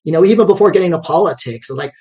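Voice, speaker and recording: A man's voice: high voice, animated, very fast, dark tone. A man talking in a rambling, conversational way as a guest in an interview. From United States. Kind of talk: casual interview talk.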